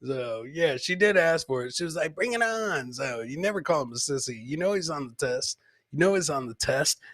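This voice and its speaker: Guy riffing on funny clips for his podcast, bright punchy voice, dry close sound, says stuff twice. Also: fairly high pitch, expressive, normal speed, no echo. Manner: repeats his phrases for comic effect. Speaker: comedy podcast host. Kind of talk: casual live commentary on funny video clips.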